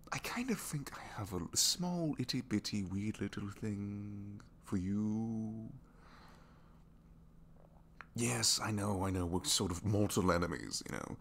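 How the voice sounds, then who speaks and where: Man acting a low-voiced, bashful captive supervillain. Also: creaky, a little hiss or hum, heavily acted. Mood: flustered, bashful. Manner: halting, self-deprecating, soft confiding delivery slipping into whispers. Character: captured young male supervillain, reluctantly evil, bashful and lovestruck. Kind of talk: ASMR romantic audio roleplay.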